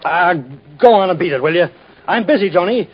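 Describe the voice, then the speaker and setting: A man's voice: high-pitched, lively intonation, fairly quick, slightly rough. An actor playing a grumpy, greedy everyman in a 1940s radio play, with blustering, demanding speech. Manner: blustering and demanding, then drowsy. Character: grumpy, greedy middle-aged everyman dreaming of pie. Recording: muffled old radio recording, faint hiss.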